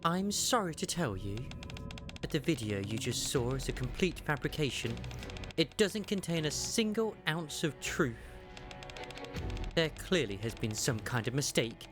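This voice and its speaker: A woman's voice: sits low, big pitch swings, unhurried, over music. A woman playing a stoic, principled naval commander in an anime game fandub, speaking formally and earnestly with authority. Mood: stern, earnest.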